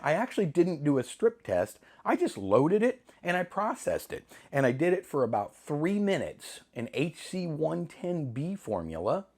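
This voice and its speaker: A middle-aged man with a slightly hoarse voice, chatting casually to camera in a rambling, self-deprecating way with jokey asides. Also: fairly high pitch. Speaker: film hobbyist channel host.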